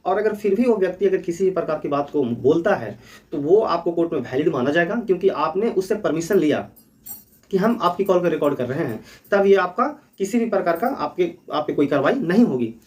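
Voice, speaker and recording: A man's voice: a little high, lively intonation, brisk, a little mellow, far from the mic. A man hosting an explainer for viewers, talking in an earnest, instructive way and repeating key points. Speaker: host of a legal awareness channel.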